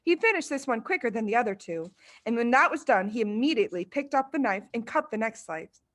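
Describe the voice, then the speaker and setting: A woman reading a children's story aloud as expressive scripted narration. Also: fairly high pitch, brisk. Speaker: storybook reader.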